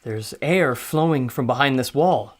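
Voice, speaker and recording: A man's voice: medium pitch, measured pace, natural voice. An actor playing an earnest male scholar and translator held captive, in an old-time radio drama. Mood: curious.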